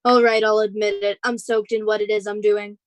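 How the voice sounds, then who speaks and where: A woman's voice: medium pitch, little pitch movement, a little fast, no echo, close to an everyday voice. A woman playing a strained, self-absorbed father in a live radio drama, earnest and defensive. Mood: defensive, guilty. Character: strained, self-absorbed father and novelist, dismissive then apologetic.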